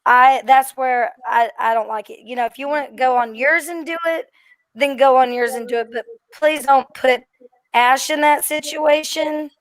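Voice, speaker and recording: A hoarse woman speaking on a live stream panel, earnest and repeating herself as she finds her words. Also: high voice, fairly even intonation, normal speed, no room sound, poor audio.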